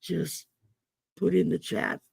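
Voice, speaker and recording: Slow gravelly woman. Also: on the low side, level tone, a little mellow, no echo, studio quality. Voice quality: gravelly voice from damaged vocal cords. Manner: slow, folksy, chatty with many ums. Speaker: host and oracle card reader. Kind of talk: casual livestream chat. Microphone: computer mic on a livestream.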